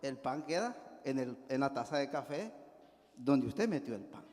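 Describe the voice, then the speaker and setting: Salvadoran preacher in an echoing hall. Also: male voice, a little high, a little mellow. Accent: Salvadoran Spanish. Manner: folksy, asks the congregation for agreement often. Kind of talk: sermon with a storytelling illustration. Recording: long reverb of a church hall.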